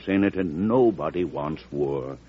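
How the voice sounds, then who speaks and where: An actor playing an earnest, scheming political supporter, flattering and persuasive, in an old-time radio drama. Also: male voice, very deep voice, measured pace, slight background noise. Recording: dull vintage radio recording.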